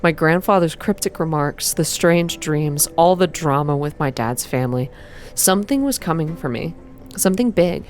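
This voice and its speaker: Low-voiced woman reading a story aloud. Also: animated, moderate pace, a little mellow. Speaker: ASMR reader and game player. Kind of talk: ASMR reading of a visual novel, scripted narration. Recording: music behind the voice. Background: background music.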